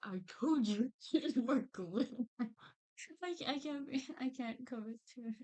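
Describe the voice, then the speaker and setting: A woman's voice: a little high, expressive, slow pace, darkish tone. A woman chatting casually with her partner during his stream, giggly and teasing. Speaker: streamer's girlfriend. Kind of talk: casual off-camera chat.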